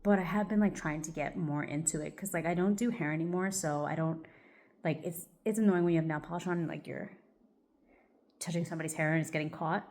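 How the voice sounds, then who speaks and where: A woman with a slightly low voice chats casually while getting ready, her talk loose and rambling and packed with "like" and "um". She sounds a touch stuffy, as if coming down with something. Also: steady tone, a little fast, a little mellow. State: a little congested, stuffy. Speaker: vlogger. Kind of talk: casual get-ready-with-me chat.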